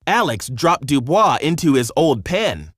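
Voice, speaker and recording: A man narrating a scripted children's storybook in an expressive, measured storytelling manner. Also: on the high side, no room sound, clean studio sound.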